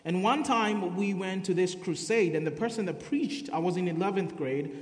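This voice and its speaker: A man's voice: high-pitched, normal speed. A man from Kenya with a Kenyan accent, preaching a sermon and sharing his testimony in earnest. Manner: earnest testimony, reading scripture aloud. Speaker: guest preacher. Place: church sanctuary. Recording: echoey church hall.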